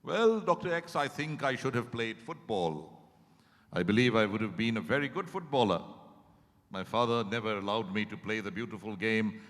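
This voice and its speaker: Man acting a regretful, halting patient, echoing hall. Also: lowish voice, lively intonation, fairly bright tone. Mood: passionate, nostalgic. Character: reflective, regretful male therapy patient reminiscing about life. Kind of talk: dramatized stage reading. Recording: echoing hall, stage reading.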